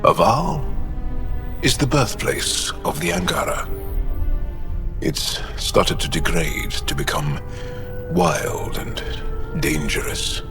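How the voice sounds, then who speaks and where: An actor playing a solemn, intense alien resistance fighter in a video game, speaking in a measured, deliberate, dramatic way. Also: male voice, deep voice, sing-song, music in the background, full character voice. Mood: grave. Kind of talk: scripted video game acting.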